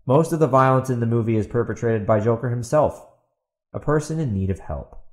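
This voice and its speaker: Male podcast host, solo rant, blunt and combative, repetitive phrasing, medium pitch, roomy echo. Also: a little fast, darkish tone. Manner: combative, exasperated, repeats himself, blunt with swearing. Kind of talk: ranting solo commentary, partly reading out a post. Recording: roomy, echoey sound.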